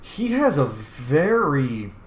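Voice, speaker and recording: A man's voice: on the high side, very animated, slow pace. A man hosting a roleplay let's play, giving informal, reflective game commentary with some swearing. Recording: roomy echo, faint background noise.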